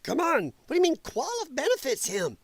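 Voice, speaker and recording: A man's voice: very high-pitched, wildly swooping pitch, a little fast, no room sound. A man hosting a livestream, reading news aloud with exasperated, sarcastic, profane commentary. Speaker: livestream host. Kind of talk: opinionated news commentary rant, reading an article aloud with asides.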